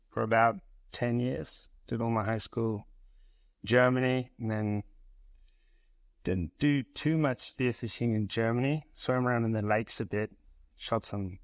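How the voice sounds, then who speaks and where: A man from South Africa with a South African accent, in his thirties, telling his story as a podcast guest in an easy, unhurried way. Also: lowish voice, no echo. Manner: relaxed, even storytelling with pauses. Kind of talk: casual interview.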